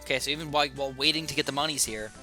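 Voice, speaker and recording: A young man with a slightly raspy, sore throat, chatting excitedly while thinking aloud through commentary on his own gameplay. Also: medium pitch, rapid-fire, music in the background. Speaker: gaming YouTuber.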